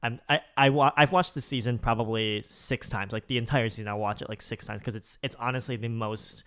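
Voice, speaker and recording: A man's voice: medium pitch, fairly even intonation, fairly quick, fairly bright tone, clean tone. A man co-hosting a podcast in casual chat, rambling and enthusiastic with lots of "like" and restarts. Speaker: podcast co-host.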